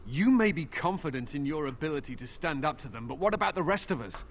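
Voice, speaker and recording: Casting: grave templar commander for a game, high and very expressive delivery. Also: male voice, quick pace, a little hiss or hum. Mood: grave, earnest. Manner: grave, pleading, formal. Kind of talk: game voice acting.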